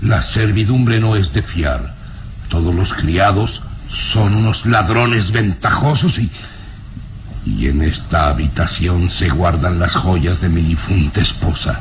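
A man playing a proud, sinister nobleman and father in a radio serial, speaking in a haughty, menacing, deliberate way. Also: deep voice, noisy background. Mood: defensive. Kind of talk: Spanish-language radio serial acting.

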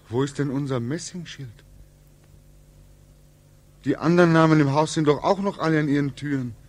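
An actor playing a homesick, brooding young man back from war in a 1940s radio drama, with halting, repetitive, anguished speech. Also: on the high side, darkish tone, close to an everyday voice. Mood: agitated dismay. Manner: halting, repetitive, swinging into agitated questioning. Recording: 1940s radio recording, music behind.

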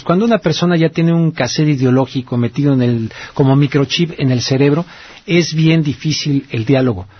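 A man's voice: mid-range voice, husky, no echo, lo-fi sound. A man with a Mexican accent hosting a radio call-in show, talking in a casual, colloquial, rambling and reflective way. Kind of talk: casual conversational radio commentary.

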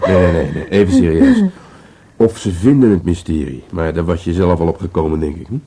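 A musing police detective in an intimate radio drama. His voice is low, dark and husky. He talks in a hesitant, wry way, as if thinking aloud. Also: big pitch swings, fairly quick, heavily acted. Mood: earnest. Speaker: actor in a radio play. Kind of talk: Dutch radio drama dialogue.

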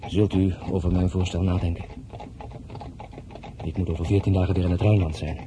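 A man's voice: deep voice, little pitch movement, fairly quick, background noise, close to an everyday voice. A man playing an earnest, blunt French general of thirty-one in a Dutch drama, courting in a direct, insistent way. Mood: sincere. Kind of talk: Dutch radio drama acting.

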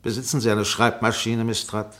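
A man's voice: lowish voice, flat delivery, a little fast, a bit bright, close to an everyday voice. An actor playing an insistent, insinuating defence barrister in a German radio courtroom drama, formal and peremptory as he questions. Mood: pressing, peremptory. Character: relentless, insinuating male defence barrister cross-examining a witness.

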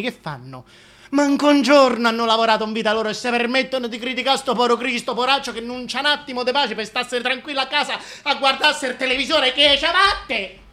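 Bright-voiced gossipy Roman loyalist, played by a man, exclamatory. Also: very high-pitched, full character voice. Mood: exasperated, fond. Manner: colloquial, ranting, exclamatory. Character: gossipy working-class Roman supporter of the king, indignant. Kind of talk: comic theatrical monologue.

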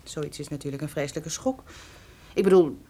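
A woman playing a sharp-tongued, sceptical partner of a detective in a Dutch radio play, emphatic and full of questions. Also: low-pitched, fairly quick. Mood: disdainful, curious.

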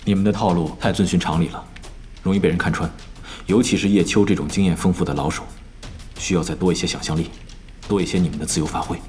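An actor playing a composed, authoritative esports team captain in an audio drama, speaking in a measured, serious manner. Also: male voice, low voice, fairly even intonation, a little mellow, soft background music, lightly acted. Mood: calm, serious. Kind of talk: Chinese audio drama acting.

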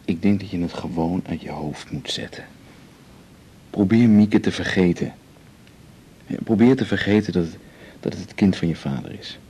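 An actor playing a calm, dreamy musician husband in a radio drama, intimate and reassuring. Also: male voice, low-pitched, normal speed, breathy edge. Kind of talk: 1980s radio drama acting.

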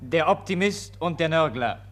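An actor as a formal scene announcer, declaiming deliberately in a 1940s radio play. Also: male voice, a little high, lively intonation, fairly bright tone, faint music behind.

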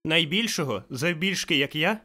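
A man's voice: on the high side, expressive, moderate pace, sharp tone, voice pushed up. A man voicing a cheeky, puzzled young boy with blunt, surprised retorts.

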